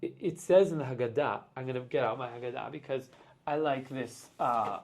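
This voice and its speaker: A man, a guest on a podcast, talking in a casual, reflective way and often pausing with "like" as he explains his ideas. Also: medium pitch. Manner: reflective, explains with many "like" fillers. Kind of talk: casual conversation.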